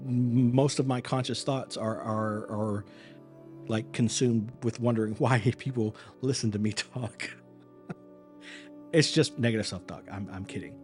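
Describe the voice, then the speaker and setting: Male acting coach hosting a live stream: tired, voice fraying, rambling earnest chat at an average pitch. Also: unhurried, long pauses, faint music behind. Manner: rambling, earnest, repeats words as he restarts. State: tired, voice wearing thin.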